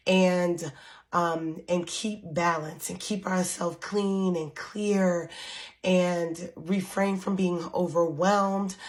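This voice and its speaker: A woman leading a spiritual coven, talking casually to her followers while she recovers from losing her voice, with many pauses and ums. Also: fairly low pitch. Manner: slow, unhurried, with frequent ums. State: recovering from lost voice. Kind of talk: casual spiritual talk to camera.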